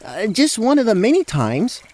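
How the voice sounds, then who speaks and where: Nervous, stammering ex-fiancé, male actor, high and very animated, sincere and apologetic, fantasy podcast drama. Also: moderate pace. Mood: earnest, flustered. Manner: stammering, hesitant. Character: nervous, guilt-ridden, earnest male ex-fiancé. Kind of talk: acted fantasy audio drama from tabletop game.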